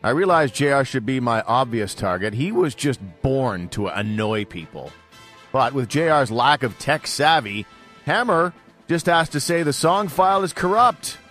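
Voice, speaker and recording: Male co-host for sports talk radio, mid-range voice, expressive inflection, sarcastic and dry in casual banter. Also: medium pitch, moderate pace, faint music behind. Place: radio studio.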